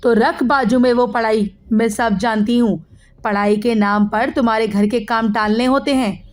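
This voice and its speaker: A woman voicing a spiteful middle-aged aunt who scolds and bosses in a sharp manner, in a moral story. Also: on the high side, fairly even intonation, normal speed, lightly acted. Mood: scolding.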